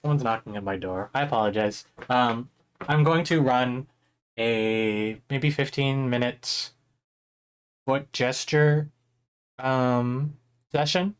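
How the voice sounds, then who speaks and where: A young man, a little hoarse, teaching a drawing class on a live stream in a chatty, rambling way with many fillers. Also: medium pitch, takes it slowly, pauses often. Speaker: art instructor streaming a drawing workshop.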